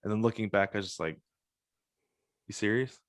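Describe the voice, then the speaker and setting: A man's voice: lowish voice, brisk, dry recording, studio quality. A man speaking as a podcast host and interviewer in casual chat.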